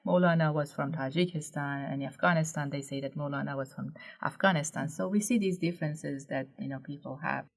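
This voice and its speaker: A woman from Iran, a guest language expert in a podcast interview, explaining in a measured way. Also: low voice.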